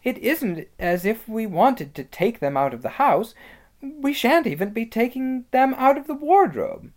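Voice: mid-range voice, sing-song, measured pace, voice pushed up. A storybook narrator voicing a practical, fussy schoolgirl, the elder sister of the family. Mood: brisk.

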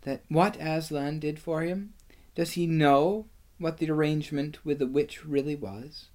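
A young storybook girl, earnest and headstrong, as a male narrator acts her out. Her voice is lifted higher, and she speaks with insistent feeling. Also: huge pitch swings, measured pace, vocal fry, darkish tone, slightly rough, exaggerated voice. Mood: hushed, insistent.

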